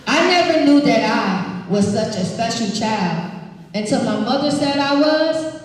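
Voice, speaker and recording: A woman, an energetic children's author reading aloud live to a school audience, projecting and engaging listeners with questions. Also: on the high side, measured pace. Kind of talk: live read-aloud, call-and-response with children. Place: large echoey room at an elementary school. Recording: very echoey hall, distant mic.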